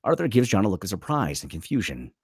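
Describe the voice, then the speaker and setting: Man, dramatic script narration, breathy rough-edged voice, swooping intonation, dry studio-close sound. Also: on the low side, big pitch swings, fast, no echo.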